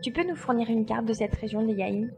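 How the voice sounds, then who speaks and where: A woman's voice: on the high side, fast, heavy background noise, lightly acted. A woman playing a determined, commanding glider pilot in a French audio drama. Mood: focused. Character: determined female glider pilot searching for her babies.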